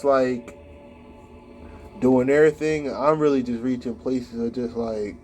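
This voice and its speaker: A man's voice: medium pitch, a little mellow, slight background noise. A man in his late twenties giving casual spiritual advice in a rambling monologue, full of "like" fillers. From United States. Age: late 20s.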